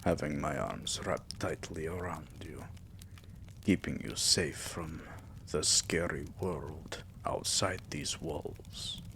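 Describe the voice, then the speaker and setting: A man's voice: a bass voice, slow, vocal fry, a bit bright, slight background noise, full character voice. A man voicing a tender, possessive vampire king in an intimate, soothing ASMR roleplay, murmuring and often slipping into whispers. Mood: tender, protective.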